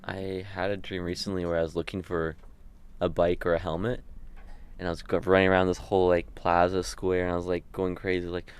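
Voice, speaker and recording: Low-voiced man, rambling casual answers. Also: fairly quick, smooth. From Switzerland. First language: Swiss German. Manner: relaxed, rambling, storytelling with lots of fillers. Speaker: band member. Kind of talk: casual interview.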